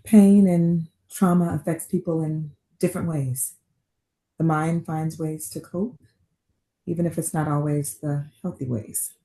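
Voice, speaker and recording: A woman's voice: sits low, steady tone, measured pace, dark tone. A woman in a table read playing a composed, careful, concerned doctor in a drama. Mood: calm, attentive. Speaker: actor in a script table read. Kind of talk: dramatic script reading.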